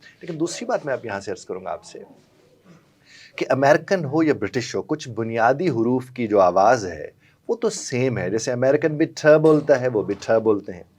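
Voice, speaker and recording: A middle-aged man, a guest explaining communication and in a formal, courteous, measured way. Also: mid-range voice, lively intonation, a little mellow, slightly rough. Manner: formal and courteous, using polite honorifics. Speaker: guest, language and communication trainer.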